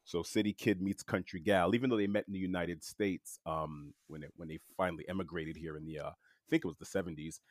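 Interview guest: New man, very low voice, unhurried and halting, lots of fillers, dry close sound. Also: no echo. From Queens, New York City. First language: French. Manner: relaxed, halting, with many fillers and restarts. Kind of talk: casual storytelling.

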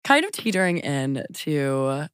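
A woman hosting a podcast interview, chatting casually and reacting to her guest with quick affirmations. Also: low-pitched, big pitch swings, clean tone, dry recording, clean studio sound. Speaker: podcast host.

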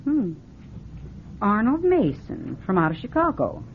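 An actress playing a curt, unwelcoming motel clerk in an old radio drama. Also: female voice, mid-range voice, wildly swooping pitch, measured pace, slight background noise. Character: terse small-town woman motel clerk, curt. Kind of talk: 1970s radio drama acting. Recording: tinny old radio broadcast.

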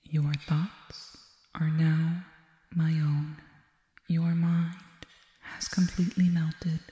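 A soothing alien girl , voiced by a woman, lulls the listener in an ASMR hypnosis roleplay. Her voice is mellow and breathy, moving between whisper and soft speech. She speaks very slowly and deliberately, wrapped in cavernous reverb. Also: low-pitched, little pitch movement. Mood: hypnotic, commanding calm. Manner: breathy, whispered to softly spoken, slow and deliberate. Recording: echoing reverb with long tail.

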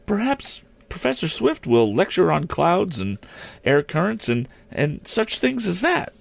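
A man voicing a shy, eager schoolgirl who speaks in a pleading, hesitant, hushed way. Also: fairly high pitch, huge pitch swings, normal speed, creaky, slightly rough, no room sound, pitched above the performer's own voice, full character voice.

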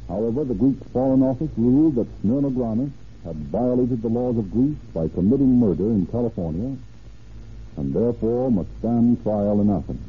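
A man's voice: mid-range voice, lively intonation, moderate pace. Male narrator, declamatory, formal old-time radio delivery, phone-like band, faint hiss. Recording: tinny, hissy old radio recording.

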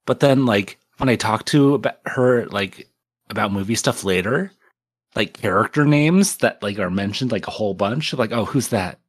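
Hesitant man, low voice. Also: normal speed. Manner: hesitant, many likes and restarts. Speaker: podcast co-host. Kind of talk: casual chat.

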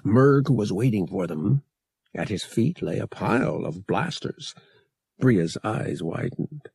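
A male audiobook narrator tells an adventure story in a low, husky voice. He takes his time and swings widely in pitch for drama, with a dry, close sound. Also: animated, takes it slowly, darkish tone, no echo. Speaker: audiobook reader.

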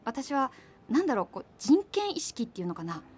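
A woman's voice: sits high, big pitch swings, brisk, darkish tone, soft background music. An actress playing a cool-headed young woman in a radio drama, politely hedging yet blunt as she rebuffs someone. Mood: exasperated. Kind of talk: naturalistic radio drama dialogue.

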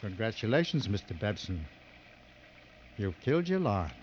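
An actor playing a seasoned, coolly sardonic hunting guide in a mid-century radio drama, giving clipped, authoritative instructions. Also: male voice, on the low side, sing-song, normal speed. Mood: dry, sardonic. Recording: dull old radio recording, noisy.

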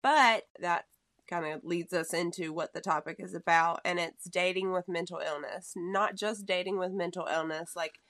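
A woman's voice: on the low side, normal speed, fairly bright tone, no room sound. Chatty woman podcast co-host, dry sound. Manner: chatty, laughs easily. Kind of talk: casual podcast chat.

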